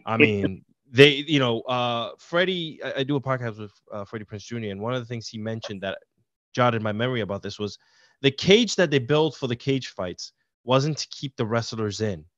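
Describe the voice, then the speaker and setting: A middle-aged man from Puerto Rico, a podcast guest telling stories in a relaxed, rambling way over a video call. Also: mid-range voice, moderate pace, dry recording. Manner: easygoing, rambling storyteller. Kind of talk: casual interview chat. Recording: dull, dry video-call audio.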